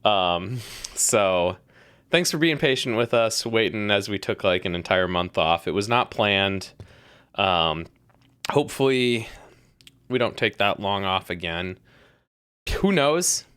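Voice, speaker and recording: A woman's voice: a bass voice, animated, slow pace, a bit bright, clear, resonant tone, dry recording, studio quality. A woman hosting a casual live stream, bubbly and rambling, laughing often. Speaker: podcast host.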